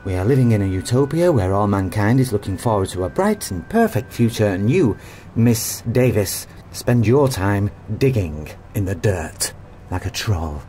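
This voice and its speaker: A man's voice: fairly low pitch, expressive, normal speed, warm tone, slight background noise, natural voice. An actor playing a haughty, condescending company chairman in an audio drama, with clipped, pompous delivery. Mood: sneering, smug. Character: haughty, rude, rich robotics company chairman.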